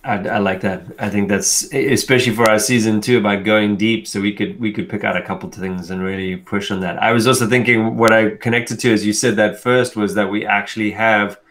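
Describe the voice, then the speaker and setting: A middle-aged man with a South African accent co-hosts a casual tech chat over a video call. He rambles and thinks out loud, often restarting his sentences. His voice comes through wired earbuds from an indoor room. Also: lowish voice, fairly even intonation, brisk, hardly any pauses. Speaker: co-host.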